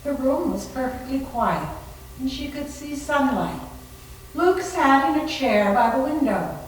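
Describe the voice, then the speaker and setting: A woman's voice: high voice, lively intonation, measured pace. A woman giving dramatic narration of a story in a live readers theater performance. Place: library room, live staged reading. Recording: very echoey room, far from mic, faint noise.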